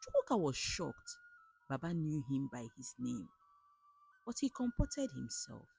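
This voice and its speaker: A woman with a Nigerian accent narrating a folktale as a storyteller, in an expressive, theatrical way. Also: low voice, a little slow, creaky, breathy edge, soft background music. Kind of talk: dramatic scripted folktale narration.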